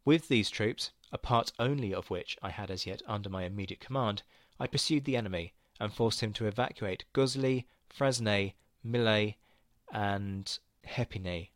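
A man giving a formal, measured reading of a historical letter. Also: on the low side, no echo, studio quality. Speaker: reader of historical accounts.

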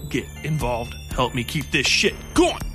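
A man's voice: mid-range voice, sing-song, measured pace, sharp tone, soft background music. A man hosting a solo podcast, talking casually in a profane, slangy, rambling way about films. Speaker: podcast host. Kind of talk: casual solo film review and rant.

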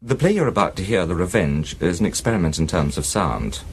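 Bloke on 70s radio, formal instructions, animated low voice, slightly noisy old recording. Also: male voice, a little fast. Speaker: radio presenter. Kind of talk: 1970s radio introduction. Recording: archive 1970s radio, faint noise.

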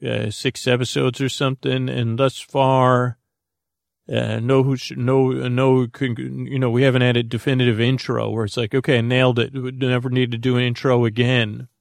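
A man with a gravelly, creaky voice hosting a sleep podcast, rambling in a halting, goofy, improvised bedtime monologue that wanders into tangents. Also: mid-range voice, flat delivery, dry recording, studio quality.

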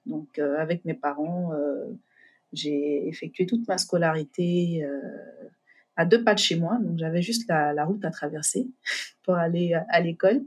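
Woman, low voice, husky, hesitant, remote call. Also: measured pace, darkish tone. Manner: hesitant, many fillers and restarts. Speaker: podcast guest, entrepreneur. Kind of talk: casual remote interview.

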